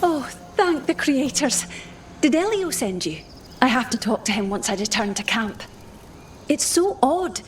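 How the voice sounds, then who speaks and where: High voiced relic scholar lady, relieved but puzzled, urgent n swoopy, game dialogue. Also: big pitch swings, measured pace, soft background music. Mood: relieved unease. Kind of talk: video game voice acting.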